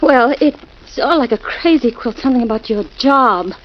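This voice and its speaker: An actress playing a nervous young wife shaken by a nightmare, breathless and pleading, in 1940s radio acting style. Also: female voice, a little high, moderate pace, natural voice. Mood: anxious. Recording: muffled old radio recording with hiss.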